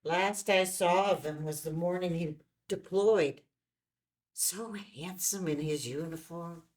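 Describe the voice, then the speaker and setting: A woman playing a kindly, folksy small-town diner owner and mother in a film script table read. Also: fairly low pitch. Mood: wistful. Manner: folksy, unhurried.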